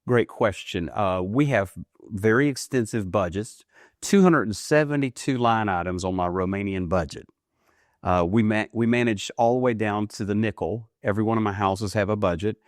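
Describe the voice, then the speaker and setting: A man in his fifties from the United States, answering interview questions earnestly, often rising in pitch for emphasis. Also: normal speed, dry recording, clean studio sound. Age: 50s. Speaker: guest, ministry leader. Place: studio.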